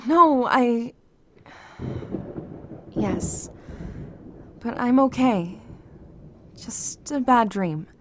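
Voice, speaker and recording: A woman's voice: on the high side, lively intonation, drawn-out words, long pauses, noisy background. A woman playing a guarded, guilt-ridden young survivor in an amateur zombie apocalypse audio drama. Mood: subdued, guarded.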